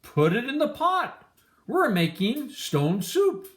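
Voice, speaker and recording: A woman voicing a hungry, showman-like traveler in a storybook, proclaiming in a drawn-out way. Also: a little high, very animated, voice pushed up. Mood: grand, showy. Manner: loud, slow, drawn-out proclaiming. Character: two hungry, hearty, showman-like travelers selling magical soup.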